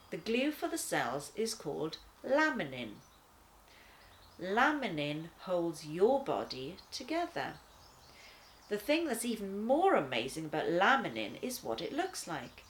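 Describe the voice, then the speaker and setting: A woman reading a children's devotional aloud in a deliberate, expressive manner. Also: lowish voice, a little hiss or hum. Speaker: children's church story reader.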